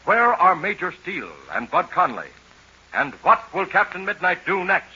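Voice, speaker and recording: A man's voice: high voice, sing-song, moderate pace, pushed and bright, husky. A man announcing a 1940s radio serial with emphatic, dramatic scripted delivery. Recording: muffled 1940s radio recording.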